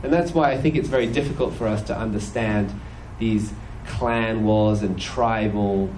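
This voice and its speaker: A British man in his forties with an accent softened by years in America, giving a relaxed, good-humored Sunday sermon into a stand microphone. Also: on the low side, slight background noise, rough recording. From British, raised in several countries. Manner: conversational and good-humored, many "you know"s, puts on quick voices. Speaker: church speaker. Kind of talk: Sunday sermon with personal testimony. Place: church hall stage.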